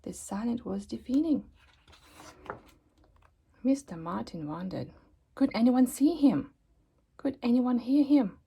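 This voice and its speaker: Children's book narrator, woman, soft mellow tone with some fry, theatrical emphasis, slight background noise. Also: fairly high pitch, animated. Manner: dramatic emphasis and pauses. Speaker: storybook reader. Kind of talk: expressive children's read-aloud narration.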